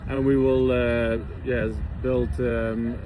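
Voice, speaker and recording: A man's voice: mid-range voice, little pitch movement, slow, phone-quality sound. A man from the Netherlands, a visiting physiotherapist, answering interview questions in a hesitant way with many fillers and restarts. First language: Dutch. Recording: outdoor traffic noise behind.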